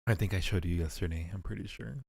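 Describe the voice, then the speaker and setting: A man's voice: sits low, quick pace, vocal fry, darkish tone, breathy edge, no echo, studio quality. A man hosting a live game stream, chatting casually with music playing behind him. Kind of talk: casual live chat while gaming.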